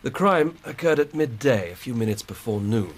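An English actor playing an earnest, plain-spoken police inspector in a radio drama, reporting methodically and emphatically. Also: male voice, mid-range voice, lively intonation, moderate pace, breathy edge. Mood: earnest, puzzled. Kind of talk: 1990s British radio drama acting.

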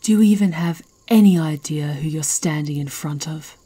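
A woman playing a fierce, mocking werewolf chieftain in an ASMR roleplay, speaking in a slow, taunting, imperious way. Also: sits low, dark tone, husky. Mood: stern, proud.

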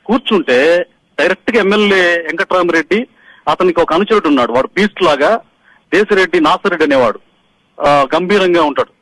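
Middle-aged man, high voice, fast urgent speech, phone caller, emphatic. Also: a bit bright, low-quality recording. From Andhra Pradesh. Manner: urgent, pressing, emphatic retelling. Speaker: caller, party polling agent. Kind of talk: phone-in testimony to the media. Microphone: phone call.

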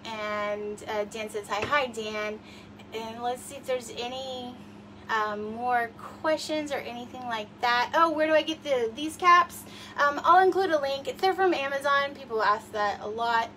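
A high-voiced woman in her forties chats her way through a livestream in her home kitchen, rambling with plenty of fillers. She sounds slightly sniffly. Her voice is a little distant from the phone, with faint room noise behind her. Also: a little slow, pushed and bright. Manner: chatty, rambling, many fillers. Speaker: livestream host. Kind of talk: casual livestream demo. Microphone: phone camera across the room.

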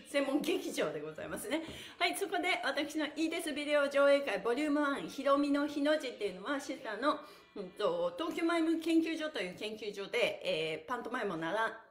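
A middle-aged woman leading a cheerful exercise livestream as an instructor, upbeat and exclamatory with sing-song encouragement. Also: high voice. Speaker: stretching instructor and mime performer. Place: small bare indoor studio room, on a mat. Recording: echoey room.

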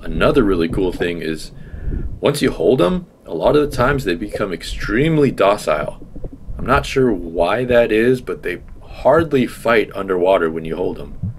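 A man's voice: fairly low pitch, animated, normal speed, a little hiss or hum. A man in his thirties narrating his own fishing video with enthusiasm, explaining as he goes. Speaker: fishing vlogger. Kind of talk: casual voice-over narration.

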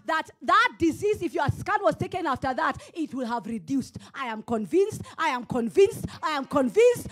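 A Kenyan woman pastor in her forties preaching a sermon into a handheld microphone in a church hall, swinging from loud declarations to hushed storytelling. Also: sits high.

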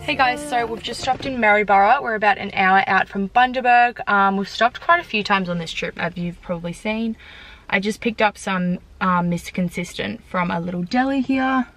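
A woman's voice: medium pitch, moderate pace, fairly bright tone, soft background music. A woman of twenty with an Australian accent, chatting informally to camera in a casual vlog. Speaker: vlogger. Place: parked car interiors and home kitchen. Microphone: handheld camera at arm's length. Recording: background noise, in car or home.

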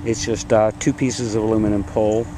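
A man narrating his homemade build in a casual, halting way, filming outdoors in a backyard. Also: on the low side, little pitch movement. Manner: halting, many uh fillers, matter-of-fact. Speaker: DIY builder. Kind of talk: casual show-and-tell narration. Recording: noisy outdoor camera audio. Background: wind and outdoor ambience.

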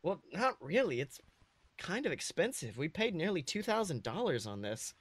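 A man voicing an excitable, friendly male theme park visitor, eager and chatty, in a comedic story reading. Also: on the high side, normal speed, husky, no echo, full character voice. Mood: casual, chatty. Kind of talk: comedic fan fiction reading.

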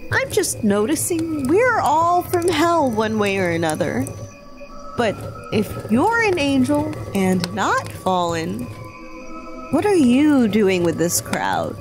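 Casting: excitable female demon, blunt, curious, high voice, unhurried, for audio fiction. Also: over music, heavily acted. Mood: inquisitive. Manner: animated, blunt, casual. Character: excitable, hot-tempered, curious demon woman, bound in slavery. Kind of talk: audio fiction podcast acting.

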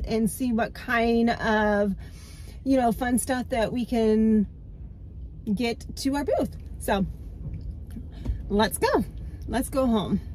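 A woman in her thirties vlogging from the driver's seat, slightly stuffed up and nasal from a cold. She talks slowly in a somewhat high voice, laughs easily, and sounds close to a phone on the dashboard. A faint hiss sits behind her in the car. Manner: chatty, laughs often, slow and drawn out. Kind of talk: casual vlog chat to camera. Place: parked car, inside. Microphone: phone camera on the dashboard.

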